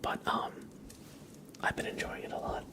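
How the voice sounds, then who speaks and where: A young man whispering casual, rambling chat as the host of an ASMR livestream, often hesitating. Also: normal speed.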